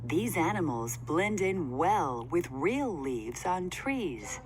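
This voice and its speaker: A woman reads a children's book aloud at a slow, deliberate pace. Her slightly low voice rises and falls in lively swings. There is faint noise behind her. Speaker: read-aloud narrator.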